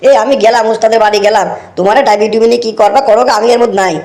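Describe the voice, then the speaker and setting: A man's voice: sits high, steady tone, rapid-fire, a little mellow. A man voicing a fawning, scheming village henchman in a comic cartoon, chatty and cajoling, with a rural Bangladeshi Bengali accent. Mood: feigned concern.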